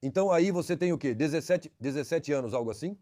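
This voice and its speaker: A man's voice: on the high side, expressive, quick pace, mellow. A man hosting a conversational interview, inquisitive, putting questions and dropping in short reactions. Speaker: interviewer, host.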